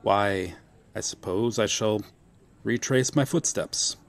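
A man's voice: lowish voice, takes it slowly, creaky. A man playing a proud, courteous medieval knight out of his time in an audio roleplay, with formal, archaic, theatrical phrasing. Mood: puzzled, resolute. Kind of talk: ASMR roleplay acting.

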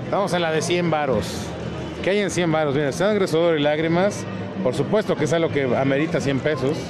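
A man's voice: a little high, a little fast, crowd noise. A man with a Mexican Spanish accent, casually commenting as he browses records in a large indoor flea market hall, listing titles and prices. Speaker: vinyl collector vlogger. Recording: loud music in the background.

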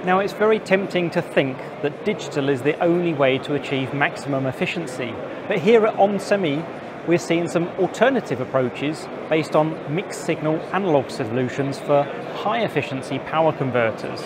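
A man in his forties presenting to camera with a lapel mic in a busy indoor trade show hall, giving clear, informative reports. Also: fairly high pitch, lively intonation, normal speed. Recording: noisy trade show floor.

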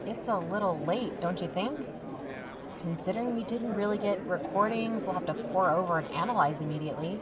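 A woman's voice: on the low side, a little fast, over a noisy crowd, lightly acted. A woman playing a dry, skeptical amateur investigator in a conversational audio drama.

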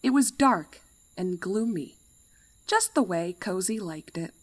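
A woman reading fan fiction aloud as an expressive storyteller. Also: medium pitch, slow, husky. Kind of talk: expressive scripted story narration. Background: music.

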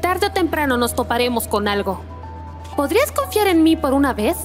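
An angsty cartoon robot teen voiced by a woman, high-pitched and animated. She sounds insistent and exasperated, with a Latin American Spanish accent. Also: normal speed, soft background music. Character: angsty, stubborn teenage robot girl. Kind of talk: animated fan dub acting.

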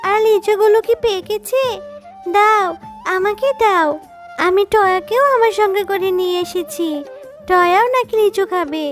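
A woman's voice: very high voice, measured pace, soft background music. A woman voicing a sweet, childlike little girl cub in a children's cartoon story, coaxing and eager. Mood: pleading.

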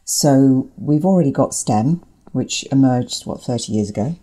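A woman's voice: extremely low pitch, animated, a little slow, dark tone. A woman giving explanatory interview answers as an academic guest, articulate and emphatic, building points through repetition.

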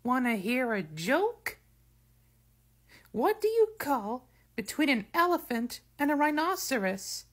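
A woman plays an excitable cartoon owl who lives to joke. Her voice is high and swoops widely, with perky, exaggerated delivery. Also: slow pace, heavily acted. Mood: gleeful. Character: jokey, excitable female owl desperate for laughs. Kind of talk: scripted character voice lines.

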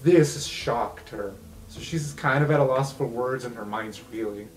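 A man's voice: mid-range voice, normal speed, rough recording. Emphatic man running a tabletop game. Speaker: game master. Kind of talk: improvised tabletop game narration.

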